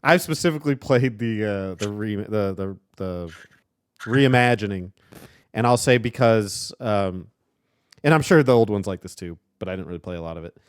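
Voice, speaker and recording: Low-voiced laid-back man, dry sound. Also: lively intonation, normal speed, clean tone, no room sound. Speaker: podcast co-host. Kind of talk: casual chat.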